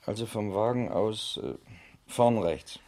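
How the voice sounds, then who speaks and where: A man's voice: lowish voice, a little slow, a little mellow. A man acting in a 1970s German radio crime drama as a patient, methodical police investigator asking clipped questions.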